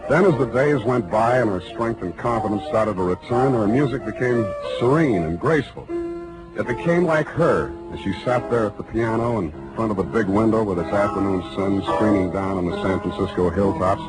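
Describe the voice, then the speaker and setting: An American actor playing a tough, wry private detective narrating a 1940s radio crime drama in clipped style. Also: male voice, lowish voice, moderate pace, natural voice, poor audio. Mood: wistful. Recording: old 1940s radio broadcast, music behind. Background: music.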